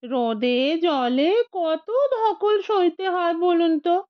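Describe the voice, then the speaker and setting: Woman voicing a cheeky high-pitched male ghost. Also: very slow, dry recording, lo-fi sound, exaggerated voice. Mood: cheeky, cajoling. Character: cheeky, stubborn male ghost squatting on a bald head.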